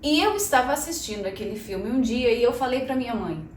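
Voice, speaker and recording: A Brazilian woman in her thirties talks casually to her viewers, chatty and personal, often tagging her sentences with little questions. Her voice sits a bit high for a woman. It carries the echo of a roomy space, with her a little away from the mic and faint noise underneath. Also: expressive, a little fast, recorded from a distance. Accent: Brazilian Portuguese. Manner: chatty, addresses viewers as "gente", frequent "né". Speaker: channel host.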